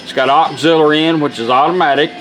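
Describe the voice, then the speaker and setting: A plainspoken man with a slightly high voice walks through a product demonstration. He talks informally and folksily, with faint music behind him. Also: fast talker, fairly bright tone, rough recording. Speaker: presenter demonstrating a restored car radio.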